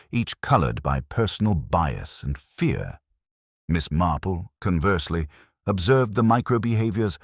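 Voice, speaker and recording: A man narrating a scripted mystery story as an audiobook, in a measured, formal storytelling manner. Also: low-pitched, no room sound, clean studio sound.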